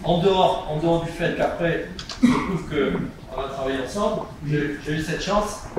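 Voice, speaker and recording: A man's voice: on the high side, moderate pace. Man, informal tribute speaker, chatty and teasing; distant pickup, very reverberant hall, noisy. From Nice, France. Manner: joking, conversational, many fillers. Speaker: speaker at a judo ceremony. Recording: echoey hall, distant mic, audible noise.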